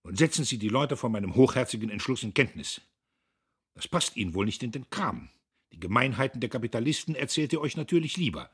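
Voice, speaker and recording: A man voicing a blustering, pompous and anxious middle-aged factory owner, emphatic and then ingratiating, with a husky voice. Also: medium pitch, lively intonation, a little fast, a bit bright, pitched above the performer's own voice. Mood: indignant. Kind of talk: audiobook character voice.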